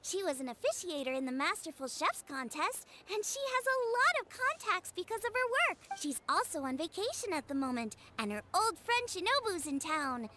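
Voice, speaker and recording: A woman's voice: very high-pitched, very animated, moderate pace, few pauses, noisy background. A woman voicing a perky, friendly teenage girl cook in a game, chatty as she explains things. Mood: cheerful, explaining. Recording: game dialogue over loud background music.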